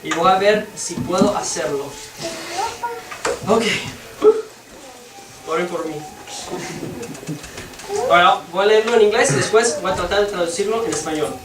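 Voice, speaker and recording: Halting teacher. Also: medium pitch. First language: English. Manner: halting, searching for words while translating. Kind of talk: bilingual classroom lesson. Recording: echoey room, distant mic, noisy.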